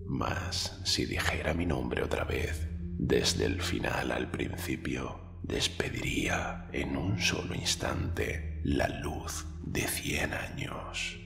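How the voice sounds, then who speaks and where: Spooky whispery magic stone, super slow, big echoey room. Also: male voice, rumbling low, creaky, fairly bright tone, voice pushed down, heavily acted. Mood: solemn. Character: ancient whispering enchanted stone inscription, solemn. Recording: long echoey hall reverb.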